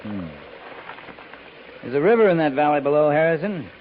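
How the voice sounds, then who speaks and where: An actor playing a dry, calm, commanding cavalry captain in a 1950s radio western, terse and understated. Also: male voice, a little high, normal speed. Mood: dry, musing. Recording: muffled, hissy 1950s radio recording.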